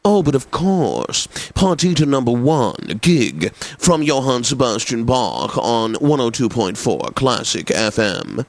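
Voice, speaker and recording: Slow, pompous classical radio DJ. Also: male voice, medium pitch, big pitch swings, no echo. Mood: genteel, self-satisfied. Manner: pompous, affected, deliberate enunciation. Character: pompous, refined male classical radio DJ, drolly genteel. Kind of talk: scripted classical radio presenting.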